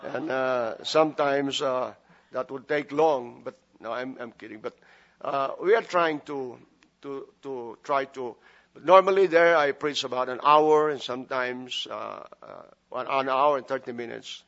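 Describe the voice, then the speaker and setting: A man's voice: medium pitch, a little slow, a bit bright, lo-fi sound. A man from the Philippines with a Filipino accent, preaching a sermon and praying as a guest pastor, chatty with joking asides and many fillers. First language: Filipino language. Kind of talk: sermon with prayer and humor.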